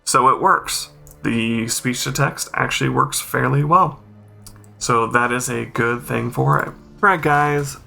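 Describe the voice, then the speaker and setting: Bright-voiced man with a gravelly edge. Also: medium pitch, unhurried, faint music behind. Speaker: host, game accessibility reviewer. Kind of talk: informal spoken walkthrough and review commentary.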